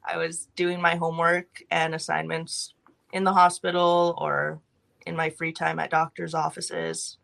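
Young california woman telling her story, lowish but bright voice, brisk and matter of fact. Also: little pitch movement, gravelly. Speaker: podcast guest.

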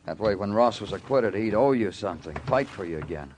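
An American actor playing a tough, world-weary police detective in vintage radio crime drama, with clipped, brooding delivery. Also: male voice, lowish voice, expressive, a little fast, noisy background, lightly acted. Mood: stern. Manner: clipped hard-boiled delivery, brooding narration. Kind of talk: 1940s-50s radio crime drama acting.

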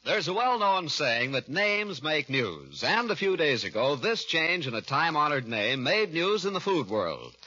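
Male American announcer, 1940s radio. Bright, slightly husky, emphatic and polished, on a dull vintage recording. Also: on the high side, very animated, moderate pace, few pauses.

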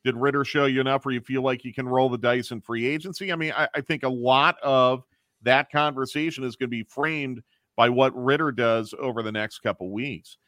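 A man's voice: medium pitch, steady tone, a little fast, a bit bright, dry recording, clean studio sound. A man who runs a radio station's programming, talking casually on air and thinking aloud with restarts.

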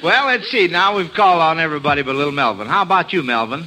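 Bright, high-voiced man hosting vintage radio quiz. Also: lively intonation, fast. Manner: jovial, chuckling. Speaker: quiz show host. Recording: noisy vintage radio broadcast.

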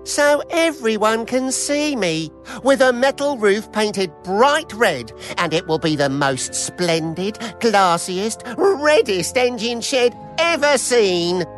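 A man's voice: high voice, lively intonation, takes it slowly, fairly bright tone, faint music behind, voice pushed up, full character voice. A man doing James, a boastful vain engine. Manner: bold and boastful.

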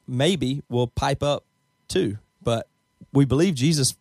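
A man's voice: medium pitch, slow, creaky, a little mellow, dry recording, clean studio sound. A man hosting a podcast, speaking emphatically and pressing his point in casual talk. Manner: emphatic, ranting. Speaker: podcast host. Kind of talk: casual chat.

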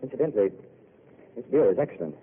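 An actor playing a weary, self-mocking intellectual who speaks in a wry, eloquent way, heard on a tinny old radio broadcast. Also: male voice, medium pitch, animated, fast, slight background noise, close to an everyday voice. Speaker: wandering failed writer. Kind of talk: 1930s radio drama acting.

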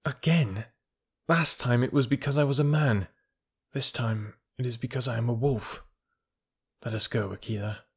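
A man's voice: mid-range voice, moderate pace, lightly acted. A man voicing a proud, scornful herdsboy raised by wolves in a storybook reading, assured and archaic in wording. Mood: calm, defiant.

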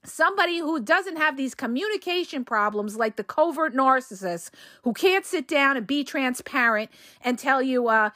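Hoarse woman giving blunt relationship advice. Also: high voice, animated, moderate pace, sharp tone, no room sound, clean studio sound. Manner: direct, emphatic, keeps checking in with the listener. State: hoarse from a cold. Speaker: relationship coach and podcast host. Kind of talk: casual advice monologue.

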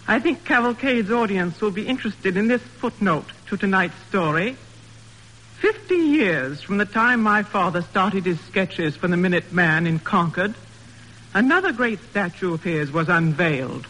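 A woman's voice: mid-range voice, lively intonation, normal speed, fairly bright tone. A woman giving scripted guest remarks on a 1940s radio broadcast. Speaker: guest author and sculptor. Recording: old radio broadcast, long hall reverb.

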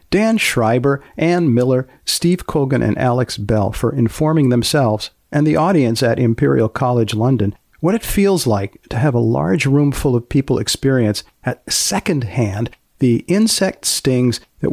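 Male podcast host, husky and creaky, wry scripted narration, close dry sound. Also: medium pitch, animated, unhurried, darkish tone, dry recording.

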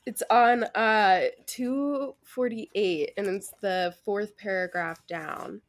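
A woman co-hosting a podcast in casual chat, playful and teasing and quick to laugh. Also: mid-range voice. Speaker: book podcast co-host. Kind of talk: casual unscripted book chat.

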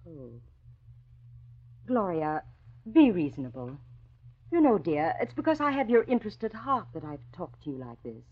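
A lo-fi vintage radio drama recording of a domineering society matron. She is middle-aged, husky and imperious, scolding in grand, swooping tones. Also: on the low side, very animated, moderate pace, pauses often. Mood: coaxing, haughty. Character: domineering, sharp-tongued wealthy society matron and mother. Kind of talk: 1940s radio drama acting. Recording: dull, lo-fi old radio recording.

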